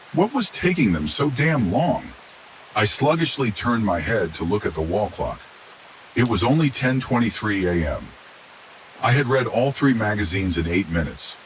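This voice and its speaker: A man's voice: low voice, low-quality recording. A man reading a scripted first-person horror story as its narrator. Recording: steady hiss behind the voice.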